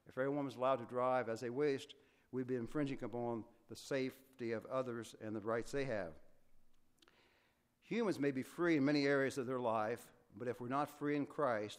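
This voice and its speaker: An older man, preaching a sermon as a guest speaker, with a soft voice and an earnest, measured delivery that has occasional restarts. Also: medium pitch, clear, resonant tone. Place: church sanctuary.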